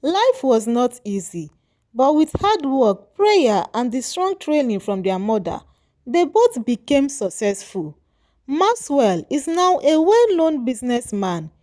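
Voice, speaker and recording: Female folktale narrator: measured and moralizing, unhurried, mellow tone. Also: high-pitched, sing-song. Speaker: storyteller.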